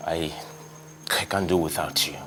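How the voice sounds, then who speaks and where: A man's voice: low-pitched, steady tone, moderate pace, bright, forceful tone, faint music behind. An actor playing a husband who kneels and pleads with his wife not to leave him. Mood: pleading. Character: remorseful husband begging his wife to stay.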